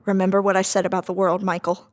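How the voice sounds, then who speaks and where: A woman plays a manipulative inner voice in an audio drama read-through. She is scornful and contemptuous, speaking in an insinuating way at a middling pitch. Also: flat delivery, fast, lightly acted. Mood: scornful, coaxing. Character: manipulative, scornful female voice in a suspect's head.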